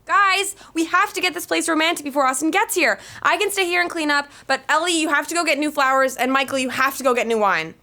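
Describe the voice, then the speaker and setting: A woman's voice: sits high, quick pace, hardly any pauses, pushed and bright. A woman playing a frantic, bossy young woman in a sitcom, urgent and exasperated.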